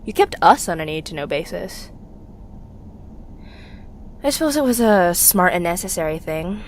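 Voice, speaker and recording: A woman playing a young fugitive rebel, bitter and haunted with grief, recording an intimate, halting confessional monologue for an audio drama. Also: on the low side, animated, a little hiss or hum, close to an everyday voice.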